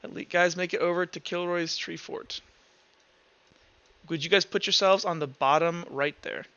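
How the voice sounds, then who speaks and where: Male game master, clean smooth voice on the high side, halting improvised narration, slightly muffled sound. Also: bright, forceful tone. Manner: halting, thinks aloud while narrating. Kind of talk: improvised narration and table talk in a tabletop roleplay session.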